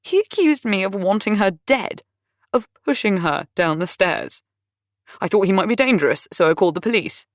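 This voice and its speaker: A woman voicing a volatile, self-pitying man who rants and complains loudly. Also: medium pitch, lively intonation, normal speed, dry recording, studio quality, voice pushed up, natural voice. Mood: pleading, self-pitying. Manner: ranting, raised and strained.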